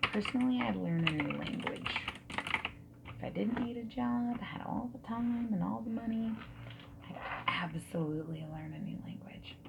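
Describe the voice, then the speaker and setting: A woman playing a friendly dating service interviewer in a soft-spoken ASMR roleplay, breathy and often slipping into a whisper, gentle and reassuring. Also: mid-range voice, lively intonation. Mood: friendly, curious. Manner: gentle, unhurried, reassuring, asking questions. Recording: background noise with keyboard typing and clicks.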